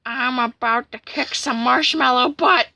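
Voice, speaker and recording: A woman's voice: high voice, unhurried, vocal fry, pushed and bright, dry recording, exaggerated voice. A woman voicing a tough-talking, cocky old granny who is ready for a fight in a made-up story.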